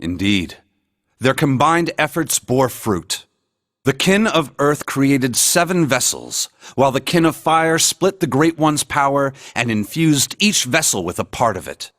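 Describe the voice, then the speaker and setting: Male game narrator, mid-pitched, very expressive swings, dry close sound, grave and dramatic with old legends. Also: unhurried, dry recording. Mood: grave, portentous. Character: grave man recounting ancient lore with gravitas. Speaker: storyteller in a video game cutscene.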